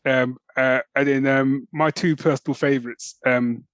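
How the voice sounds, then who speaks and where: A man's voice: mid-range voice, steady tone, normal speed, clear, resonant tone, no room sound. A middle-aged man, co-hosting a podcast in casual, matey, jokey banter. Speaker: podcast co-host.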